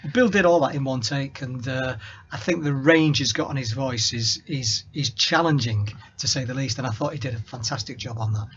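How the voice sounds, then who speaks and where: Fast-talking Northern English man. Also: mid-range voice, fairly bright tone, slight background noise. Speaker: co-producer guest. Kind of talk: casual radio chat. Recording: dull lo-fi radio audio.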